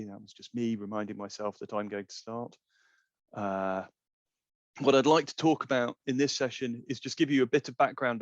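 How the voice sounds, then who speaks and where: A man's voice: medium pitch, no room sound. Man presenting, slightly dull dry audio. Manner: explanatory, conversational. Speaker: climate scientist presenting a webinar. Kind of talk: informal webinar presentation. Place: online video call.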